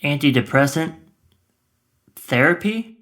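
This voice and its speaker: A man in his twenties playing a self-deprecating, depressed young man in a naturalistic audio drama, speaking haltingly. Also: fairly high pitch, animated, a bit bright. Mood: withdrawn, confused. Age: 20s. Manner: hesitant, halting, with filler words. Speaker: stand-up comedian and patient in a scripted podcast. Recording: roomy, echoing sound.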